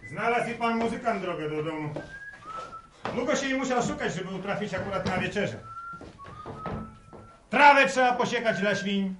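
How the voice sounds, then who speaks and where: An actor playing an exasperated, hard-working farmer in a radio drama, scolding and sarcastic in a rustic way. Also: male voice, high voice, animated, normal speed, long pauses. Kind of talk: Polish radio drama acting. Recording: noisy, roomy, distant old radio recording.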